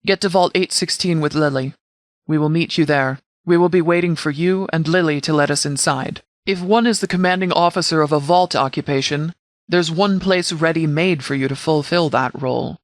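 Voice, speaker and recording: Man acting a stern, commanding sheriff, higher-pitched. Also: no room sound, clean studio sound, natural voice. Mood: resolute. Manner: formal, measured, authoritative. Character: stern, commanding sheriff leading a wasteland militia. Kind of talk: scripted video game dialogue.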